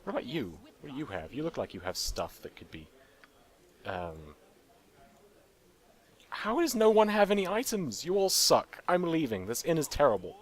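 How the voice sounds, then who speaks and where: A man's voice: a little high, wildly swooping pitch, normal speed, pauses often. A man casually commenting on his own gameplay, joking and talking to the game's characters. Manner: playful, jokey, talks to game characters. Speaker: let's play gamer.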